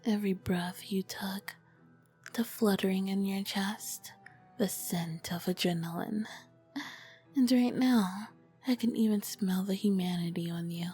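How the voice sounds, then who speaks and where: A woman playing an ancient, charming, flirty vampire queen in a whispered ASMR roleplay, with elegant, formal diction and a soft breathy voice. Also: mid-range voice, a little slow, faint music behind. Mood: intrigued, seductive.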